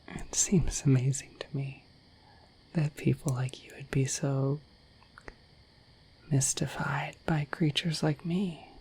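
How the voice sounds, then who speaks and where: A woman voicing a shy, affectionate, dorky dragon in a soft, breathy, halting ASMR whisper, close to the microphone. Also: sits low, low-quality recording. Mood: wistful, admiring. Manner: halting, gentle, earnest. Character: shy, dorky, affectionate female dragon, naive about humans.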